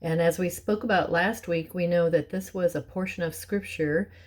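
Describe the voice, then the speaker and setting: A middle-aged woman with a hoarse, raspy voice, clearing her throat often and chatting with soft laughs as she teaches a Bible lesson. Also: lowish voice, fairly even intonation, moderate pace.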